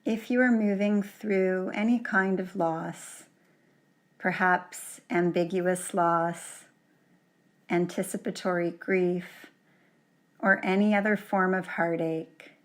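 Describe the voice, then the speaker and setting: A woman yoga teacher leading a guided relaxation in a gentle, soothing manner, leaving long pauses between short phrases. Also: fairly low pitch. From Canada.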